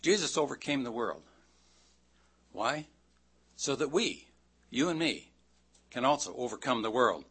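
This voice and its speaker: Man preaching with a bright, forceful voice. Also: mid-range voice, lively intonation, husky. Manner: folksy, self-deprecating, with dry asides; reads scripture aloud slowly. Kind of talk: sermon.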